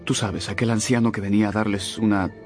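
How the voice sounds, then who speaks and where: A man's voice: lowish voice, steady tone, fairly quick, faint music behind, natural voice. An actor playing a lovesick, melancholy young man with a yearning manner in a radio drama. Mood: pleading, hopeful. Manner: subdued, yearning.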